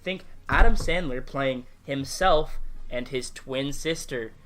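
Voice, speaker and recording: A man's voice: mid-range voice, slow pace, a bit bright. A man hosting a casual podcast chat, speaking informally and swearing now and then. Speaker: podcast host.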